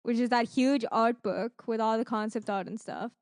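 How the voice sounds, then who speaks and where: A woman with a fairly high, very smooth and clean voice co-hosts a casual podcast. She rambles through her stories conversationally, quoting people as she goes. The sound is close and dry. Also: normal speed, no room sound. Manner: rambling, animated retelling with acted-out quotes. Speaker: podcast co-host. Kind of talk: casual chat about gaming streams and giveaways.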